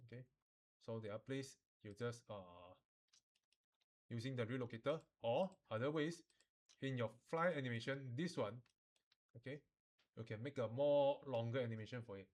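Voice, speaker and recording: Guy teaching animation software live, normal male pitch, casual, flips between languages, keeps checking ppl get it. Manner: switches between Mandarin and English, repeating explanations; informal, checks understanding often. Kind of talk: live software tutorial, explaining step by step to students.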